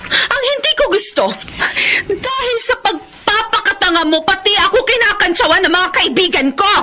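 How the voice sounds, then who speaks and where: Shrill, scolding Filipino elder sister. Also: female voice, expressive, normal speed, full character voice. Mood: angry, berating. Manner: sharp, berating, melodramatic. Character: scolding, hot-tempered elder sister Lina. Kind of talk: Filipino radio drama acting.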